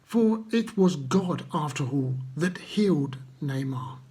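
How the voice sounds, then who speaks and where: A man telling stories to children and addressing them gently, with simple, unhurried phrasing and guiding questions. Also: fairly high pitch, animated, husky. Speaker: children's Bible storyteller.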